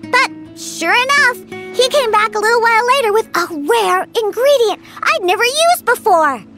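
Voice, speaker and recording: Squeaky, huffy little cat girl. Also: animated, normal speed. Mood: huffy, exasperated. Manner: huffy, indignant, scolding. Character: grumpy little cat-girl bartender, feisty, sarcastic child. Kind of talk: anime-style video game voice acting. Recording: game music under the voice. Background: gentle orchestral game music.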